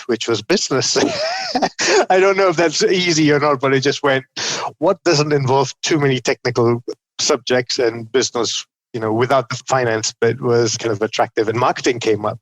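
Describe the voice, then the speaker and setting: A man's voice: medium pitch, no room sound, poor audio. A middle-aged man from Malaysia tells his story as a podcast guest, chatty and self-deprecating, often acting out what he said to himself. His voice sits in a middle range. The sound is dry and close, with no room around it. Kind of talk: conversational storytelling.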